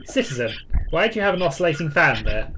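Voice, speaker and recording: A man acts out an all-powerful computer that questions citizens in stilted, formal phrases. It is officious and accusing, pitched a little high, on a noisy hobby recording for a comic game story. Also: very animated, fast. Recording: hobby internet recording with clear noise.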